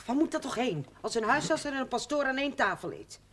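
An actress playing a devoted, resolute housekeeper in a naturalistic 1970s Dutch television drama. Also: female voice, high-pitched, very animated, a little fast, a bit bright, full character voice. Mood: polite. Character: devoted, resolute housekeeper about to leave her employer. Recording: slightly noisy old TV soundtrack, clinking cups.

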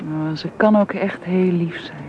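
A young woman playing a gentle, deferential young woman who is fond of a child, in a naturalistic radio drama. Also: on the low side, measured pace, lightly acted. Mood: quiet, tender. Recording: muffled, noisy old radio recording.

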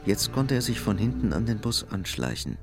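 Low-pitched male narrator over music. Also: very animated, moderate pace. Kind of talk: scripted German radio drama narration.

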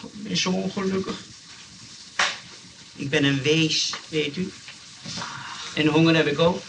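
An actor playing a pleading, chatty, self-pitying burglar in a comic radio play, with a Netherlands Dutch accent and a polite, hesitant way of talking. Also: male voice, on the high side, steady tone, measured pace, creaky. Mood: plaintive, ingratiating. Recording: distant, noisy old radio recording.

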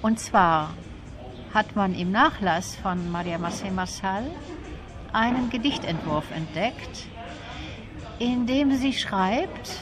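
A woman guest in a radio interview, explaining things in a thoughtful, detailed way. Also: mid-range voice. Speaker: interview guest, literary event organiser.

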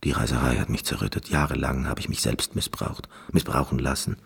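An actor playing a neurotic, fragile, obsessive concert pianist in a radio play, with a halting, fussy manner. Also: male voice, rumbling low, creaky, slightly rough, heavily acted. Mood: weary, brooding. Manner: halting, stammering, fussy, self-absorbed.

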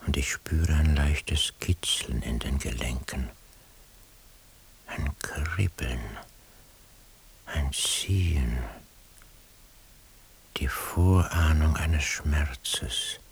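A weary, obsessive man on surveillance thinks aloud in a radio play. His voice is extremely low and crackles with fry, breathy to a near whisper. He broods slowly and deliberately over each phrase. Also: exaggerated voice. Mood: brooding, tense. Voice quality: breathy, gravelly, half-whispered. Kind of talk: radio drama interior monologue.

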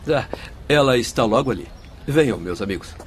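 A man's voice: mid-range voice, expressive, brisk, close to an everyday voice. An actor playing an affable, courteous male spirit guide in a radio drama, with formal, genial speech. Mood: informative. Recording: faint outdoor-like background noise.

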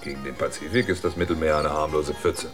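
A world-weary outsider in a radio drama. His very deep voice is dry and sober, with faint music behind it. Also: fairly even intonation, brisk. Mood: calm, dry. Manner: dry, matter-of-fact. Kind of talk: German radio drama acting.

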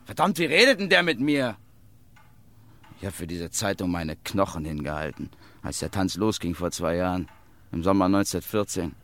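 A man's voice: low-pitched, lively intonation, normal speed, a bit bright, dry recording. A man playing a bitter, grumbling war-veteran newspaper reporter in a radio drama. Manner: muttering, then indignant.

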